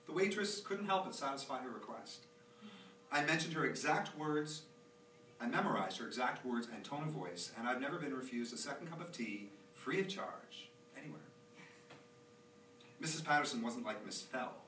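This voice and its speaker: Animated, anxious young dad acted by a man onstage. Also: medium pitch, moderate pace. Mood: fond, nostalgic. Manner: rambling, theatrical, expressive. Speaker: author performing own monologue. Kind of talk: live theatrical monologue reading. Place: small theatre stage, recorded live from a distance. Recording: roomy, distant, noisy live theatre recording.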